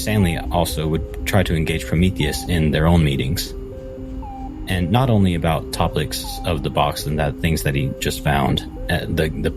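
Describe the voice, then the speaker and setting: A man playing a tabletop role-playing game, deliberately narrating what his character does, phrase by phrase. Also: very deep voice.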